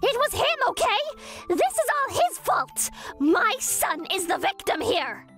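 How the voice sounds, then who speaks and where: A woman voicing an outraged, overprotective mother in a manga dub, shrill and accusing. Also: big pitch swings, unhurried, a bit bright, faint music behind, full character voice. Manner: accusing, exclamatory.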